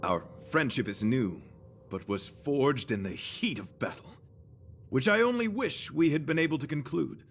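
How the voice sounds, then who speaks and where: A man's voice: mid-range voice, expressive, normal speed, soft background music, lightly acted. An actor playing a chivalrous young male knight devoted to beauty, speaking in a formal, flowery and solemn way in a video game. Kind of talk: video game character dialogue.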